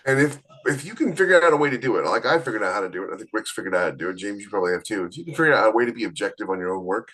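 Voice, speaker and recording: Husky, animated man, emphatic. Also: fairly low pitch, racing, few pauses. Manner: emphatic, repeats points for stress. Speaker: podcast co-host, author. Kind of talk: casual podcast chat.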